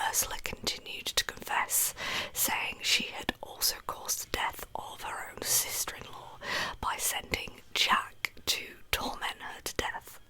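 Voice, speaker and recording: A woman narrating in a breathy, unvoiced ASMR whisper, hushed and unhurried, like a storyteller. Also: poor audio. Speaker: host of an ASMR true crime channel. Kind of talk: soft-spoken ASMR storytelling.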